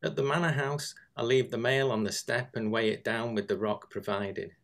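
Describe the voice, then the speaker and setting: A man's voice: medium pitch, steady tone, normal speed, a bit bright. A man reading scripted literary narration. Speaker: reader.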